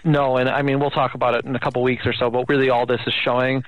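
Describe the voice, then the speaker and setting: A man with a medium-pitched voice talks sports as a co-host. His delivery is casual and conversational, and it moves along very quickly. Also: level tone, lo-fi sound. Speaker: fill-in co-host.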